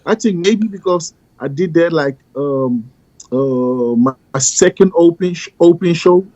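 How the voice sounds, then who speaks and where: Soft-spoken man, dull dry sound. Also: fairly high pitch, animated, no echo. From Ghana, raised in the Netherlands. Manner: halting, many "you know" fillers. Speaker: guest, pro bodybuilder. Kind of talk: casual interview chat.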